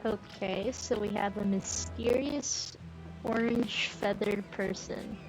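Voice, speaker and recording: A woman's voice: mid-range voice, steady tone, takes it slowly, low-quality recording. A woman giving casual gameplay commentary as a streamer, thinking aloud as she plays. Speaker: game streamer. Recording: game music under the voice. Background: video game music.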